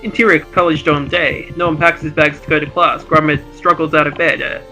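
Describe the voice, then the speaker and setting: A man's voice: a little high, steady tone, brisk, pushed and bright, faint music behind. A jovial, chuckling man, switching between casual chat and reading out script narration. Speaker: co-host and script reader.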